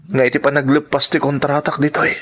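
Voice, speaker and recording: A man acting in an emotional drama as an earnest lover who pleads slowly to part. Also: a little high, monotone, a bit bright. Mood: pleading, apologetic. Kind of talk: Ilocano radio-style drama acting.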